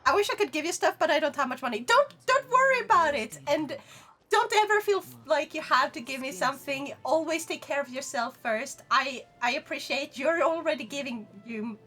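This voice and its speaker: Bright high-voiced Swedish woman streaming. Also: lively intonation, a little fast. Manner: chatty and playful, talking to chat while playing. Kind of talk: casual gaming livestream chat.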